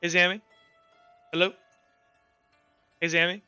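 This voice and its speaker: A man's voice: high voice, flat delivery, measured pace, bright, forceful tone, clear, resonant tone, dry recording. A man casually narrating a tabletop game as game master, chatting informally with his players over a voice call. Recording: slightly dull online voice-call audio. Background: faint music.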